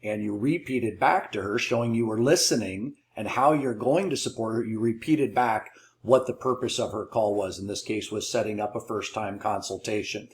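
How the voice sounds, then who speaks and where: A man's voice: lowish voice, normal speed. A man giving encouraging, affirming coaching commentary as a call trainer. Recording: roomy, echoey sound.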